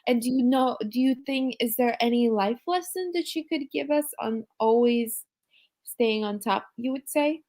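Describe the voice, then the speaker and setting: A woman's voice: on the high side, moderate pace, warm tone. A woman hosting a casual interview, giving short encouraging replies and asking questions. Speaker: interview host.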